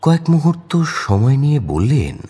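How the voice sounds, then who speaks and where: A man's voice: mid-range voice, big pitch swings, moderate pace, warm tone, husky. A man narrating a crime audio story in a dramatic, scripted storytelling style.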